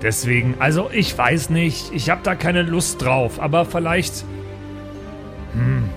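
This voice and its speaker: A man's voice: a little high, measured pace, gravelly. A man chatting casually in character as a player in an improvised tabletop game. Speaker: tabletop role-play player. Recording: background music under the voice.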